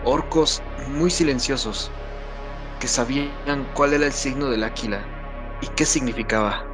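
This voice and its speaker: A man acts out a battle-worn soldier in an audio war drama. He is tormented and intense, with a middle-pitched voice, arguing with himself or barking orders. Prominent music plays behind him. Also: a little slow, lightly acted. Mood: brooding, troubled.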